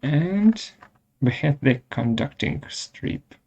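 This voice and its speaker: A man presenting a step-by-step software tutorial in short, halting instructional phrases, with a gravelly voice. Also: on the high side, lively intonation, dull audio.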